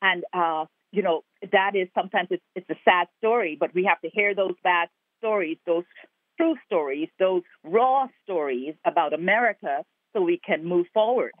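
A woman's voice: lowish voice, normal speed, no echo. Woman on a tinny phone line. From Guyana. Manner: earnest, reflective, builds in repeated phrases. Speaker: actor and playwright guest. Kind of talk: interview over the phone. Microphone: telephone.

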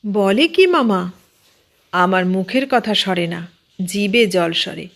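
Female narrator for humorous fiction, slow delivery, middle-register voice. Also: medium pitch, animated. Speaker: audiobook narrator. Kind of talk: scripted narration of a humorous story.

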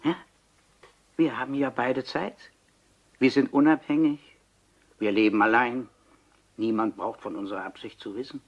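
An actor playing an obsessed, coolly persuasive seventy-year-old scientist in a radio crime play, deliberate and insistent, with dramatic pauses. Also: male voice, medium pitch, slightly rough, highs cut off. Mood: calm, matter-of-fact. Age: older.

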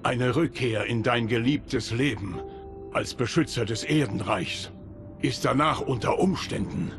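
An actor playing a solemn, loyal guardian of time in video game dialogue, formal, deliberate and grave. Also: male voice, medium pitch, lightly acted. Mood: solemn, measured. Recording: music behind the voice.

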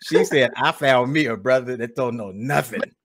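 A man's voice: medium pitch, moderate pace, breathy edge. A man in his forties with an American accent, hosting a casual comic chat, joking and repeating lines for effect. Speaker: podcast host, comedian. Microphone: large studio microphone close up.

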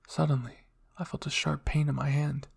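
A man narrates a story in the first person with dramatic flair. His voice is slightly high and breathy-rough, with plenty of fry. He moves between hushed and intense delivery. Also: flat delivery, normal speed. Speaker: audio fan fiction reader.

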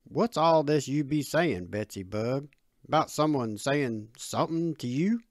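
A woman voicing a gruff, folksy, middle-aged country father with slangy, homespun phrasing in an audio story. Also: a bass voice, expressive, a little slow, dry recording, clean studio sound, pitched below the performer's own voice, full character voice. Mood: wary.

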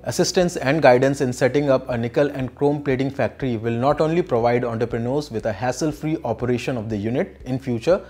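Casting: male presenter, young adult, Indian accent, medium pitch, measured scripted delivery for instructional business videos. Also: darkish tone, husky, faint music behind. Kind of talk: scripted explainer narration.

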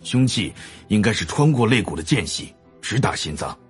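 Radio drama forensic professor: deep register, clinical, sure of himself, reporting findings plainly. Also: male voice, sing-song, moderate pace, faint music behind. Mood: clinical, certain. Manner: clinical, matter-of-fact. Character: veteran male forensic professor, short, brisk and certain.

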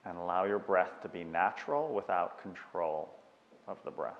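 A man guiding a meditation with unhurried, measured instructions and long pauses, in a dull recording with long reverb. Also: deep voice, animated. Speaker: meditation guide. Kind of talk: guided meditation.

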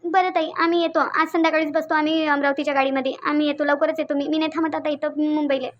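A woman's voice: high voice, little pitch movement, fast, muffled recording, natural voice. A woman voicing a jealous, insecure young wife in a Marathi cartoon, speaking Vidarbha dialect and insistently repeating her demands. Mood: upset, insecure. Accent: Vidarbha (Varhadi) Marathi. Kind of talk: Marathi cartoon story dialogue.

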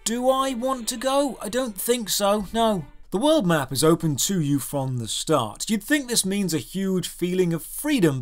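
A man narrating a scripted video game review in a wry, exasperated way, putting rhetorical questions to the viewer. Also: high-pitched.

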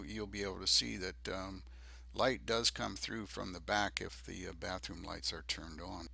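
Low, gravelly older man, halting delivery. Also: sharp tone, no echo. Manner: halting, hesitant delivery with many ums. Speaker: DIY presenter. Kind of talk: unscripted narration of a project video.

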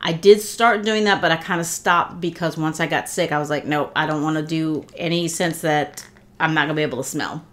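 Chatty middle-aged lady, kinda low voice, stuffed up from a cold, unboxing stuff in her living room. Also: fairly even intonation, fairly quick. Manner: chatty, warm thanks, many fillers and restarts. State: congested from a cold. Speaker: vlogger. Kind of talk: casual unboxing chat.

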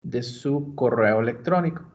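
A man's voice: mid-range voice, a little mellow. A man from Mexico patiently explaining computer steps in a screen-recorded library tutorial. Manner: slow, patient, step-by-step explaining. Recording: dull lo-fi screencast audio.

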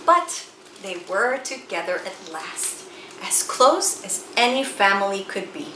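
A woman in her forties reading a children's picture book aloud in a room with bookshelves, telling the story slowly and expressively. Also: mid-range voice, echoey room, background noise. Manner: expressive storytelling, slow and animated. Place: room with bookshelves and chalkboard, indoors.

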